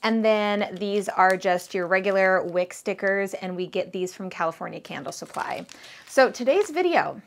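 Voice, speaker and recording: A thirty-year-old woman vlogging about her candle business in a relaxed, rambling chat, full of fillers and "like"s while she works with her hands. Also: mid-range voice, normal speed. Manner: chatty, rambling with fillers and likes. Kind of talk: casual chat to camera.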